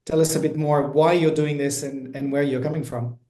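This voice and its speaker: A man's voice: a little high, steady tone, a little fast, mellow, echoey room. A man hosting a webinar who talks hesitantly, stumbling and restarting as he introduces his guests. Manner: hesitant, many fillers and restarts. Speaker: host. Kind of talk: moderating a webinar.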